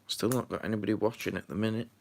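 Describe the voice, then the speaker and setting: Low gravelly man, rambling. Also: fairly even intonation, racing, a little mellow, a little hiss or hum. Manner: rambling, filler-heavy, mutters to himself while working. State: slightly stuffy with a runny nose. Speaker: live streamer. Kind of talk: casual live-stream chat while building.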